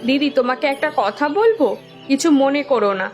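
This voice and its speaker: A woman's voice: sits high, lively intonation, normal speed, soft background music. A woman voicing a stubborn, resourceful young village wife in a cartoon, speaking insistently and defiantly. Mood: firm. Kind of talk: Bengali village cartoon dubbing.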